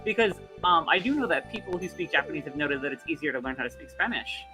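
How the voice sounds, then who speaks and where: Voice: mid-range voice, fast talker, sharp tone, rough recording. Hesitant co-streamer over game music. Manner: candid, hesitant with many ums. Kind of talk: casual chat while gaming.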